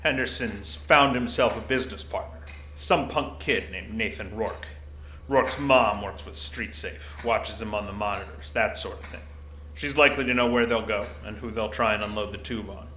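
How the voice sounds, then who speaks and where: Man acting a blunt security commander, bright echoey voice. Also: lowish voice, moderate pace. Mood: stern. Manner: blunt, dry, bureaucratic. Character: gruff paunchy desk-bound security commander, middle-aged man. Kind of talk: audio drama acting. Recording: roomy echo, faint noise.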